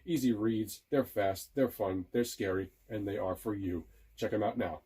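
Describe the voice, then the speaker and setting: Low, husky man promoting a book. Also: moderate pace, warm tone.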